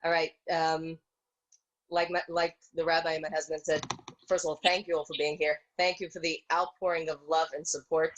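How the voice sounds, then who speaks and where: A woman speaking in a measured, articulate and supportive way as she gives reassuring advice on a video call. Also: low voice, flat delivery, dull audio, slight background noise. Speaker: social worker, rabbi's wife. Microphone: video call microphone.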